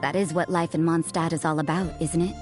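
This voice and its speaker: A woman voicing an aloof, cynical young nun in a video game, with a cool, languid, deadpan delivery. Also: deep voice, fairly quick, close to an everyday voice. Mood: brusque. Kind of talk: scripted game voice lines. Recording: music behind the voice.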